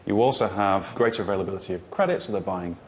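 A man interviewed as a historian, speaking in a deliberate, analytical way. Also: deep voice, slight background noise.

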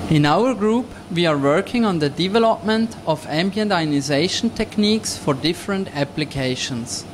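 Young Swiss man, hum behind him. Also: sits high. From Switzerland. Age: young adult. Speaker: PhD student presenting research. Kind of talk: scripted presentation. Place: indoor laboratory beside running instruments. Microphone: handheld microphone. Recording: steady machine and air-conditioning hum.

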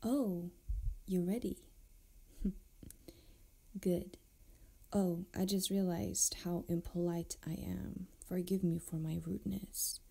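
A woman playing a flirtatious, tender pirate captain in an intimate ASMR roleplay, speaking softly and often close to a whisper. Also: lowish voice, steady tone, exaggerated voice. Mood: gentle, inviting. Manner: soft, breathy, often near whisper, unhurried. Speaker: audio roleplay performer.

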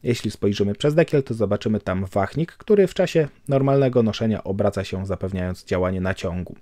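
A man giving a calm, measured voice-over for a watch review, explaining technical details. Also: fairly low pitch, no echo, studio quality.

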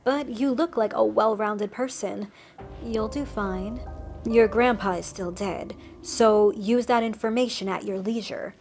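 A woman's voice: mid-range voice, unhurried, a little mellow, soft background music, lightly acted. A woman voicing a friendly male woodland cryptid, an ancient creature with rotting flesh who chats and reassures with wry asides. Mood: chatty. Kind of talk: scripted storytelling monologue.

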